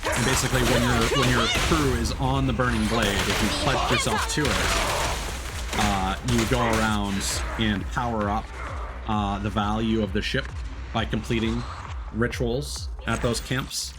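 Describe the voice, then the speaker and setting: A man chatting casually while live streaming a game, explaining things in a rambling, halting way. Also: fairly low pitch, steady tone, music in the background. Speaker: live streamer playing games. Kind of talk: casual chat while gaming.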